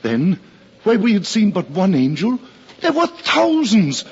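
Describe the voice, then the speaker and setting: A man's voice: sits high, huge pitch swings, a little slow, husky, full character voice. An actor in a 1950s radio drama playing an awestruck, reverent shepherd, telling his tale in solemn declamation. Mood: reverent, exalted. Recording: muffled, noisy old radio recording.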